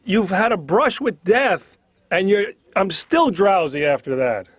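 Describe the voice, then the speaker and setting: A man's voice: high-pitched, sing-song, measured pace, breathy edge, no echo. A man doing a conversational observational comedy monologue, complaining in a neurotic, exasperated way. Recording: slightly dull lo-fi cartoon soundtrack.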